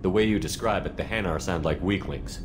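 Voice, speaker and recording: An actor playing a calm, principled military commander in a video game, speaking plainly and directly. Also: male voice, deep voice, brisk, lightly acted. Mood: probing. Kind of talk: video game character dialogue. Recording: noticeable background ambient noise.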